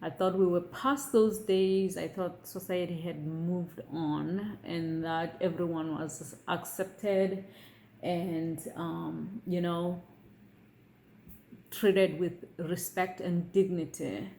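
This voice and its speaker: A middle-aged woman from Africa, giving her views in a casual vlog. She talks in a measured way and often checks in with viewers by asking "okay?". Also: lowish voice, steady tone, gravelly, a little mellow, slight background noise. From South Africa. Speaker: vlogger.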